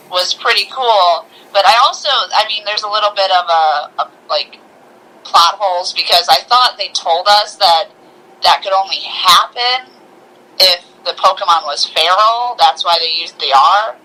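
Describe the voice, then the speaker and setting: A woman co-hosting a casual review chat, speaking informally and haltingly with open enthusiasm. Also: lowish voice, bright, forceful tone, low-quality recording. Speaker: podcast co-host reviewing films.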